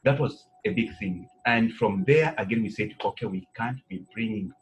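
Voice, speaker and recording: A man's voice: on the low side, animated, normal speed, a little hiss or hum. Rambling Zambian-born man in an interview. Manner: rambling, many fillers and "you know". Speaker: president of an education institute. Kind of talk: conversational interview answers.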